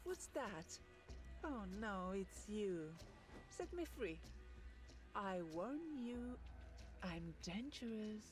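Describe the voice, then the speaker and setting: A woman playing a dangerous, cruel swan shapeshifter in a video game, speaking with slow, taunting scorn. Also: mid-range voice, animated, faint music behind. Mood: wary, threatening. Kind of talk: dramatic video game voice acting.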